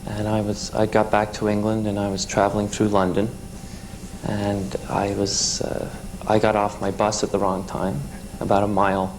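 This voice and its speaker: A man's voice: lowish voice, completely flat delivery. Young Canadian man in his twenties, talk show guest, hesitant storyteller, audible hiss on the recording. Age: 20s. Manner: halting storytelling with many restarts and fillers.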